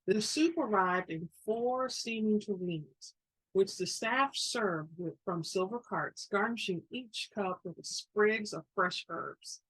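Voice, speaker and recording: Husky woman reading fiction aloud. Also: lowish voice, rough recording. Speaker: audiobook-style reader. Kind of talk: dramatic reading, scripted fiction narration.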